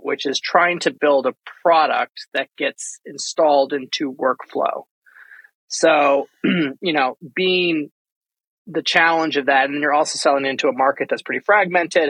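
Husky, higher-voiced male interviewer. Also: a bit bright, no echo, poor audio. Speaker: podcast host, interviewer. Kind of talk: casual interview questioning.

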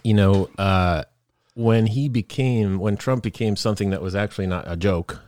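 A man's voice: fairly low pitch, normal speed, a little mellow, no room sound, clean studio sound. A man hosting a casual chat podcast, rambling and laughing often, sniffling from a cold. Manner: rambling, laughs often, trails off mid-thought. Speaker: podcast host.

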